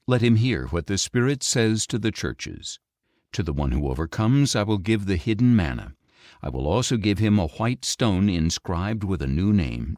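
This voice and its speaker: A man reading scripture as an audiobook narrator, in a solemn, measured, declamatory way. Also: deep voice, darkish tone, dry recording, studio quality. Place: dry recording studio.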